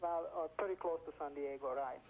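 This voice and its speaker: A man from Hungary with a Hungarian accent, speaking earnestly and haltingly as a caller on a telephone line. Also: a little high, fairly even intonation. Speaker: phone-in caller. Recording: telephone call on noisy old broadcast tape.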